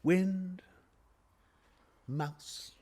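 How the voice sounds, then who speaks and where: An actor playing a mad, obsessive, boastful murderer who narrates a radio play in a halting, theatrical way, moving between whispers and shouts. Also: male voice, high voice, fairly even intonation, slightly rough, full character voice. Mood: hushed, stealthy. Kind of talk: dramatic radio play monologue. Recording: live-recorded radio play.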